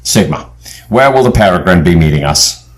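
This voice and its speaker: A man playing a courteous, wry leader of an adventure team in an audio drama, polite and measured in his wording. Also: low-pitched, animated. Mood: knowing.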